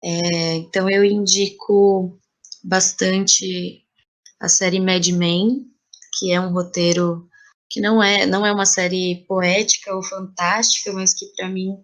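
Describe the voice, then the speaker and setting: A woman with a Brazilian Portuguese accent talks as a podcast guest. Her voice sits at an average pitch for a woman, and her delivery is casual and conversational. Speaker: interview guest, playwright.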